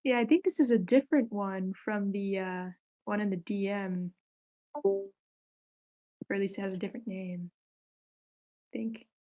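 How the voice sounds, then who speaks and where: A woman's voice: medium pitch, normal speed, long pauses, dark tone. A woman hosting a script table read in a casual way over an online group call.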